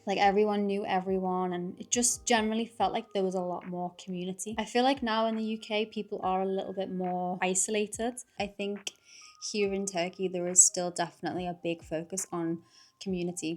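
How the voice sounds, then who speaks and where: A young woman from Liverpool with a Liverpool accent, chatting casually to camera in a relaxed vlog, with lots of fillers and laughter. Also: medium pitch. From Liverpool, England. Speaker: vlogger.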